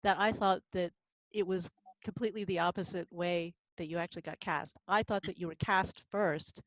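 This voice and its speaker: Slightly low-voiced woman chatting casually. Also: steady tone, normal speed, no room sound, muffled recording. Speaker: audio drama cast member. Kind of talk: casual chat on a group live stream.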